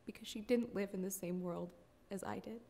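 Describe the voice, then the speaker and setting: A woman's voice: mid-range voice, fairly even intonation, brisk, dark tone, natural voice. A woman performing a dramatic stage monologue as a grieving, regretful older sister, blunt and defensive. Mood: bitter, defensive.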